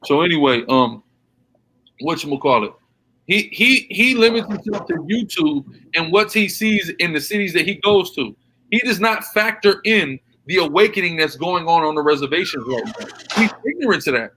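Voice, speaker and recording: A man's voice: high-pitched, animated, moderate pace, pushed and bright, a little hiss or hum, rough recording. A man hosting a live reaction stream, talking in a heated, combative way and repeating himself as he argues. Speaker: livestream host reacting to videos.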